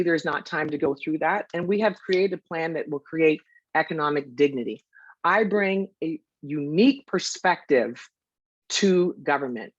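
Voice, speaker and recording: Measured woman candidate, dry dull audio. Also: low-pitched, no echo. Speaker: Liberal candidate, criminal lawyer. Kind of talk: prepared campaign statement.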